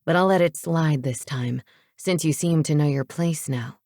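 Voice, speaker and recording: A woman's voice: low-pitched, normal speed, dark tone, dry recording, studio quality, pitched below the performer's own voice, lightly acted. A woman voicing a controlling teenage boy in an audiobook, curt and commanding with a sarcastic edge. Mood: commanding, threatening. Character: controlling, menacing teenage boy, coolly taunting.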